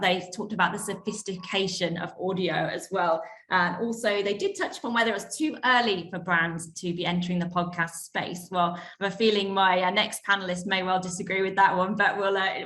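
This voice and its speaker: A woman's voice: medium pitch, steady tone, a little fast, hardly any pauses, fairly bright tone. A Western expat woman moderating a panel, introducing the session and guests in a prepared, presentational way. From Western country, living in the UAE as an expat. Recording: echoey, roomy sound.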